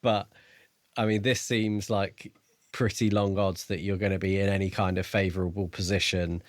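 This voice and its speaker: A man co-hosting a podcast, chatting about books in a measured, informal and conversational way. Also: low voice, little pitch movement, no room sound. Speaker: book podcast co-host. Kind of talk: casual book discussion.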